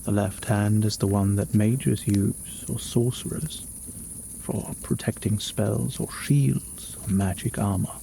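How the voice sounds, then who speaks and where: A man playing a gentle, caring mage in an ASMR fantasy roleplay, speaking softly and soothingly and often dropping toward a whisper. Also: lowish voice, steady tone, slow pace, vocal fry, slight background noise. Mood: calm, instructive. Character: gentle, caring male mage healing a weary traveler. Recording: faint nighttime cricket ambience.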